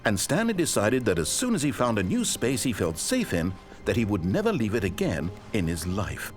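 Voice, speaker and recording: An actor playing a dry, ironic male narrator of a video game, telling the story in a measured, scripted way. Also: on the low side, huge pitch swings. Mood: wry. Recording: game audio with faint music.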